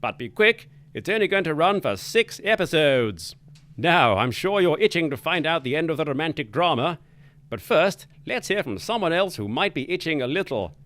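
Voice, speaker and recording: A man's voice: a little high, big pitch swings, moderate pace, fairly bright tone. A man hosting a spoof 1940s wireless hour, his patter jolly and mock-pompous. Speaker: radio show host. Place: studio.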